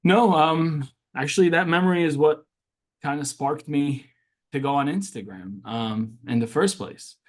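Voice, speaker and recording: A man's voice: fairly high pitch, unhurried. A young man born in Syria whose first language is Arabic, earnestly recounting his childhood as an interview guest and often restarting his sentences. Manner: earnest, with frequent restarts and fillers. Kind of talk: interview storytelling.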